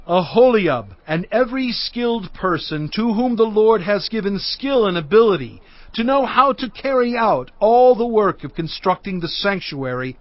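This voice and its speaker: Moses: solemn, proclaiming leader, high voice, dry dull studio. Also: male voice, no room sound. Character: Moses, aged male leader addressing people. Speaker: voice actor. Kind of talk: dramatized scripture reading.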